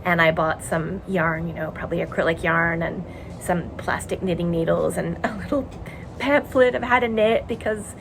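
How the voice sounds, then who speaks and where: Chatty Canadian woman with a lowish voice. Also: normal speed, vocal fry, noisy background. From Montreal, Quebec, Canada. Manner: chatty, rambling, frequent ums and uhs. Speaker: knitting podcast host. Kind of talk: casual chat. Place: home in a tiny house.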